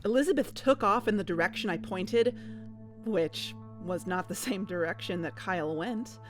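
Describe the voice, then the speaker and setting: An uneasy, watchful woman played by an actress leads a scripted audio drama. Her voice sits at a middle pitch, with faint music behind it. She speaks as someone quietly investigating and on her guard. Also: normal speed, lightly acted. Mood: tense recounting. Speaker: narrator and lead character of an audio drama. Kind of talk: scripted first-person narration and dialogue.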